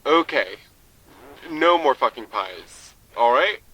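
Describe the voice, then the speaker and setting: A man's voice: medium pitch, very animated, slow pace, pushed and bright, highs cut off, slight background noise, exaggerated voice. An actor playing a blunt, exasperated male research staffer in a sci-fi audio drama.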